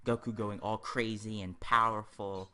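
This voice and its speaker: A man's voice: on the low side, flat delivery, a little slow, bright, forceful tone. A man giving casual, rambling let's-play commentary, sharing his opinions as he goes. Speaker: host of a gaming video series.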